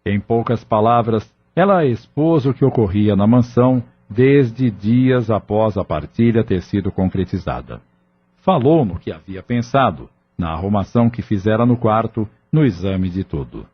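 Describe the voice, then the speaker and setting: A man's voice: lowish voice, animated, normal speed, breathy edge, lo-fi sound. A man with a Brazilian accent narrating a scripted audio novel as its storyteller. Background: music.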